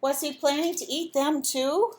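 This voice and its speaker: Higher-voiced woman reading to children. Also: steady tone, moderate pace, darkish tone. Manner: asks listeners questions, instructs simply. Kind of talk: storybook read-aloud with asides to children.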